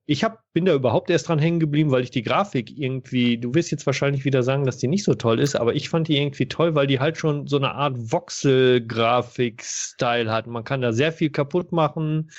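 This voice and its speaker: A man with an average-pitched voice discusses retro games as a podcast panelist. His delivery is informal and explanatory. The recording sounds somewhat dull and lacking in detail. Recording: dull, band-limited sound.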